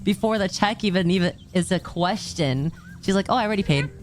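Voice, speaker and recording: A woman's voice: medium pitch, fairly quick, soft background music. A woman casually retelling a story on stream, rambling and restarting sentences. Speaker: streamer in a clip.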